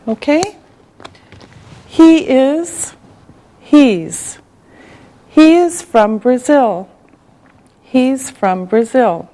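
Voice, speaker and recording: Slow, patient woman teaching English. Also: sits high, mellow. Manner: very slow and deliberate, one word at a time, with repetition; patient, encouraging classroom tone. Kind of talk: scripted language lesson for beginners.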